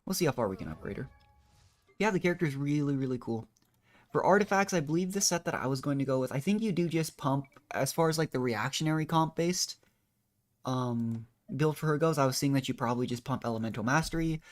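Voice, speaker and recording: A man's voice: mid-range voice, brisk. A man doing casual, rambling gameplay commentary as a streamer, thinking aloud in a modest, unsure way. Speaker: video game streamer.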